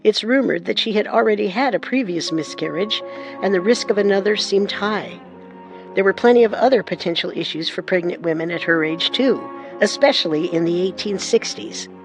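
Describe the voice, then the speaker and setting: A woman narrates a historical mystery in a measured, scripted storytelling voice at a mid pitch. Faint music sits under her words. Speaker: narrator and co-host.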